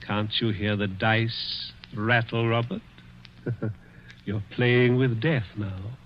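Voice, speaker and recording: A man's voice: medium pitch, steady tone, takes it slowly, a little hiss or hum, lightly acted. An actor playing a cruel, dying rich man who taunts with sly chuckles in a 1940s radio drama. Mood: menacing. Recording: dull old radio broadcast.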